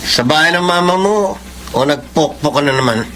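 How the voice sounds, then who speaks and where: A man's voice: fairly high pitch, moderate pace, background noise, natural voice. A man playing a cruel, jealous husband in a Filipino radio drama, menacing and taunting. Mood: suspicious, menacing.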